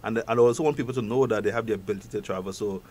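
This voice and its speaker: A man with a strong Trinidadian accent, a guest expert on a talk show, explaining in halting speech with many repeated words. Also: lowish voice, a little mellow.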